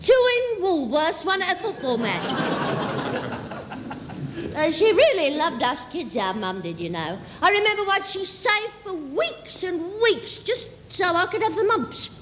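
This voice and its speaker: A woman's voice: extremely high pitch, big pitch swings, a little slow, in a large hall, background noise, full character voice. A woman performing a comic monologue as a sentimental working-class Cockney, rambling and repeating earnestly while reminiscing. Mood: fond, nostalgic.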